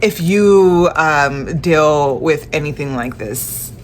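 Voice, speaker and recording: A young woman speaks slowly in a low voice, her nose stuffy and congested. She talks in a halting, tired way, often restarting her sentences, as in a casual personal vlog. A faint room ambience sits behind her. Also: animated, slight background noise. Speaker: vlogger.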